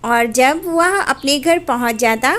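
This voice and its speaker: A woman narrating a children's cartoon story in an even storybook telling. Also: high-pitched, slow pace, a little hiss or hum.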